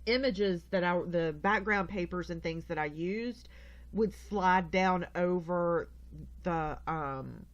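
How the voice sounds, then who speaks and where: A woman's voice: lowish voice, highs cut off. A woman with a stuffy, congested nose hosts a casual craft tutorial, chatty and rambling, often correcting herself as she goes.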